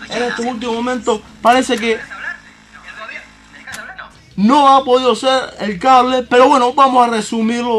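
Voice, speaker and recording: High-pitched, bumbling Cuban radio host, played by a man. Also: fairly bright tone. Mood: flustered. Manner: rambling, repeats phrases, bumbling. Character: excitable, tippling Cuban football show host, male. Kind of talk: comic sports radio presenting.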